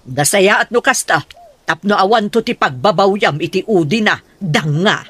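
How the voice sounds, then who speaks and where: A woman's voice: mid-range voice, expressive, normal speed, fairly bright tone, slightly rough, no echo. A woman with an Ilocano Filipino accent acting a stern, suspicious, scolding middle-aged mother in a radio comedy-drama.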